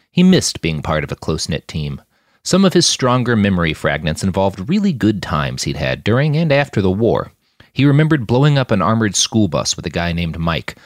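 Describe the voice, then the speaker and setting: A man's voice: low voice, no echo, clean studio sound. A man reading a gritty science fiction story aloud in an audiobook style. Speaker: author reading his own fiction podcast.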